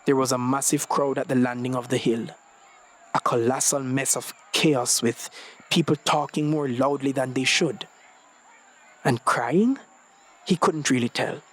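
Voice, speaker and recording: A man's voice: mid-range voice, steady tone, measured pace, a bit bright. A young man reading a short story as scripted narration. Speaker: story reader.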